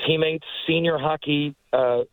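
A man's voice: fairly high pitch, steady tone, unhurried, no room sound, poor audio. A man in his forties from Newfoundland, a guest who talks in a heartfelt, rambling way with many restarts. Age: 40s. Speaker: guest, retired hockey player. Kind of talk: casual interview talk.

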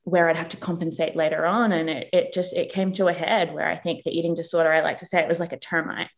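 A woman with a mixed Australian and New Zealand accent, telling her personal story as a podcast guest, earnest and animated. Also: on the low side, fast talker. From England, raised in Australia. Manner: earnest and animated, acts out quoted people.

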